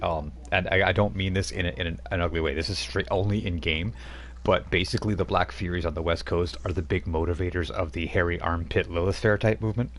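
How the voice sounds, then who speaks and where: Deep-voiced man running a tabletop game. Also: a little hiss or hum. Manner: relaxed, filler-heavy, halting narration. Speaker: game master.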